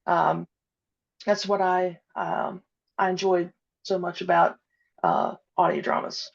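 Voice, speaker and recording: A woman's voice: lowish voice, flat delivery, slow pace, gravelly, highs cut off. A woman being interviewed about her podcast, talking casually and hesitantly with many "uh" and "um" fillers. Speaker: podcast guest, audio drama creator.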